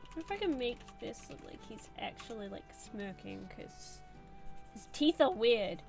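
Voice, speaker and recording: A woman's voice: a little high, expressive, normal speed, gravelly, darkish tone, faint music behind. Chatty young woman streaming her doodles. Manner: rambling, full of "like" and "um" fillers. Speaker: streamer drawing and reading chat. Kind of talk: casual live-stream chat while drawing.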